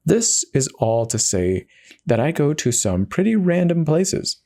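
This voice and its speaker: A man's voice: fairly high pitch, sing-song, measured pace, vocal fry, dark tone, voice pushed up, full character voice. A man voicing a self-justifying, conversational narrator who casually confesses in a first-person dramatic horror reading. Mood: uneasy recounting. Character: confessional devout man turned self-eater, casually rationalizing.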